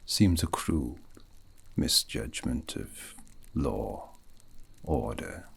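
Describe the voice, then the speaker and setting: A man playing a gentle, courteous elven mage in a whispered ASMR fantasy roleplay, with breathy, husky delivery and formal, archaic, soothing phrasing. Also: very deep voice, expressive, extremely slow, full character voice. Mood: calm, musing.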